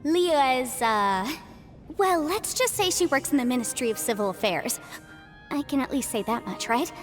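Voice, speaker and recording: A woman's voice: high voice, lively intonation, moderate pace, faint music behind. A woman playing a polite, articulate young female legal advisor in an English video game dub, cheerfully businesslike. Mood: wry, friendly. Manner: articulate, explanatory.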